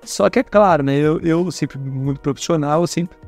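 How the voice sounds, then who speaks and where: A Brazilian man telling stories as a guest at a career fair, chatty and animated, who talks with his hands and keeps checking in with tag questions. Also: fairly high pitch, moderate pace, soft background music. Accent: Brazilian Portuguese. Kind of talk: casual storytelling interview.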